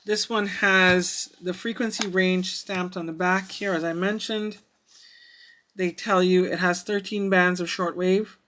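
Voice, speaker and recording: Bright tone, mid-range pitch, casual demonstration, hesitant thinking aloud, product reviewer. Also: fairly even intonation, unhurried. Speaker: radio reviewer.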